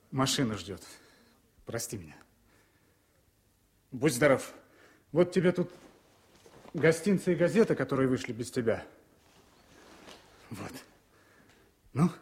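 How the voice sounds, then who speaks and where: An actor playing a loyal, tender frontline journalist, earnest and measured, in the style of a 1970s Soviet radio drama. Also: male voice, medium pitch, darkish tone, slightly rough, heavily acted. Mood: warm farewell. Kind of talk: 1970s Soviet radio play acting and narration.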